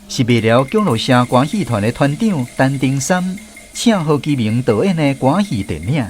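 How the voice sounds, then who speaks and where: A man's voice: medium pitch, a little mellow, slight background noise. A man with a Taiwanese accent narrating a scripted radio story in a folksy way, drawing out his phrases. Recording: music bed under the voice. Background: background music.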